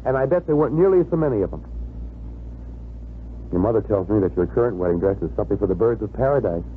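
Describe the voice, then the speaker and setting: An actor playing a suave, stubborn, teasing young fiancé in a wry, self-assured way, in 1950s radio drama style. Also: male voice, fairly low pitch, lively intonation, quick pace, lightly acted. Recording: tinny, noisy old radio broadcast.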